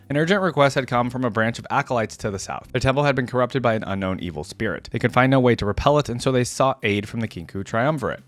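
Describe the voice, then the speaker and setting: A man hosting a reaction video, reading a story aloud and adding engaged, musing comments as he goes. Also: medium pitch.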